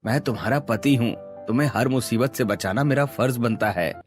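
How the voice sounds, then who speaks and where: A man plays a caring young husband in a family cartoon. His voice is medium-pitched and reassuring, with faint music underneath. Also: moderate pace, close to an everyday voice. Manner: helpful, reassuring. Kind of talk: Hindi cartoon dialogue.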